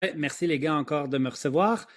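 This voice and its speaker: A man's voice: a little high, brisk. A middle-aged man with a Quebec French accent, explaining emphatically as an expert guest in an interview. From Switzerland, moved to Quebec at ten. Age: middle-aged or older. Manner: emphatic, opinionated.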